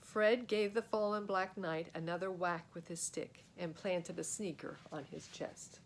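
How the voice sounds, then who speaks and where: A woman reading a children's book aloud as a storyteller, in an expressive, dramatic way. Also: fairly low pitch, measured pace, vocal fry, slight background noise. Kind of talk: animated children's book narration.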